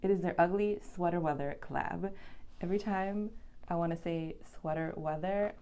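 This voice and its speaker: A woman's voice: on the low side, normal speed, warm tone, clear, resonant tone. A woman from Boston with a faint Boston accent, chatting casually through a nail polish swatch review with frequent ums and likes. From Boston, USA.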